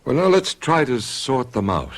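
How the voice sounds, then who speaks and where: An actor playing a calm, coaxing psychiatrist questioning a patient in a radio drama. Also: male voice, mid-range voice, normal speed. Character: calm probing psychiatrist, man.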